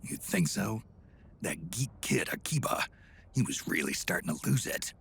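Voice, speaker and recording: An actor playing a gruff, world-weary old soldier in a video game, terse and brooding with a gravelly growl. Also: male voice, deep voice, sing-song, moderate pace, a bit bright, full character voice. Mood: sceptical, brooding. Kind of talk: video game cutscene acting.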